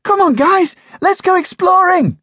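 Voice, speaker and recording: A narrator voicing an excitable young female puppy in a storybook reading, speaking high and loud. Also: normal speed, no room sound, clean studio sound. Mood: eager.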